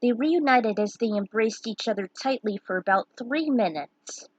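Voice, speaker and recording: A woman's voice: fairly high pitch, expressive, moderate pace, dry recording, dull audio. A woman reading her own fan fiction aloud as narrator, sometimes stumbling over names. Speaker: fan fiction author and reader.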